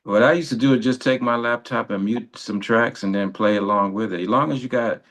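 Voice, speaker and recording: A man's voice: medium pitch, a little fast, a bit bright. A man hosting a casual music interview in a conversational, encouraging way.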